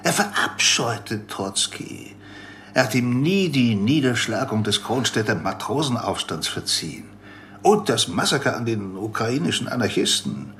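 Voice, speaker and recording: Gravelly, animated lecturing doctor. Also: male voice, lowish voice, a little hiss or hum, exaggerated voice. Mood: grave, deliberate. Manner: theatrical, lecturing, emphatic. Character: rambling, conspiracy-minded male doctor, self-amused lecturer. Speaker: doctor in a radio drama. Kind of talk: radio play acting.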